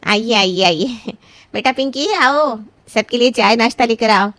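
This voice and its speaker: A woman's voice: on the high side, unhurried, a bit bright, no echo. A woman playing a polite, artful middle-aged mother of a bride in a story. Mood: courteous.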